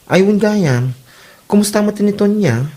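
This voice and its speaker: A woman's voice: medium pitch, expressive, normal speed, dark tone, close to an everyday voice. A woman playing a curious, gossiping village neighbour in an amateur comedy drama, with a Filipino Ilocano accent.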